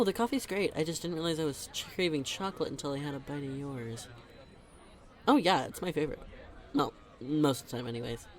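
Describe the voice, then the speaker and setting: A man's voice: a little high, close to an everyday voice. A young man playing a teasing, chatty best friend in a conversational audio roleplay, talking in a casual, emphatic way. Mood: upbeat, friendly. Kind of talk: conversational scripted roleplay monologue.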